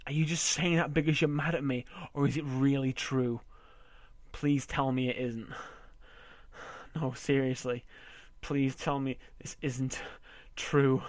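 A man voicing a domineering, possessive husband in an amateur audio roleplay, coarse and swearing, often dropping to a breathy whisper. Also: mid-range voice, no room sound, natural voice. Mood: menacing.

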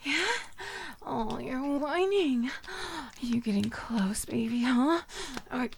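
A woman performing a dominant, teasing audio roleplay, speaking in soft whispers broken by low murmurs. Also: expressive, slow pace, vocal fry, slight background noise.

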